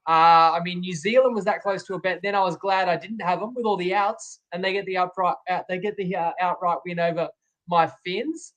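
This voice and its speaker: A man's voice: high-pitched, steady tone, fairly quick, fairly bright tone, poor audio. A man with an Australian accent, co-hosting a betting podcast, reflective and a little self-critical, with some hesitant fillers. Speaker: co-host and tipster. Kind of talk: casual sports betting podcast chat.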